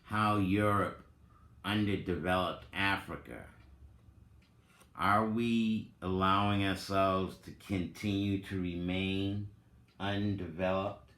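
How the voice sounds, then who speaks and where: A man speaks slowly and emphatically in a bright, forceful voice, like someone delivering a dramatic reading. His delivery is deliberate and reflective, and the room around him adds a clear echo. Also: low voice, steady tone. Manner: deliberate, emphatic, with pointed rhetorical questions. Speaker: host giving a reading and commentary. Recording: roomy, echoing sound.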